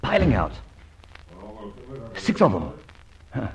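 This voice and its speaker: An actor playing a suave, cheeky gentleman adventurer in an old radio drama, well-spoken and jaunty with theatrical exclamations. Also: mid-range voice, wildly swooping pitch, slow, exaggerated voice. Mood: conspiratorial glee. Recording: muffled, hissy old radio recording.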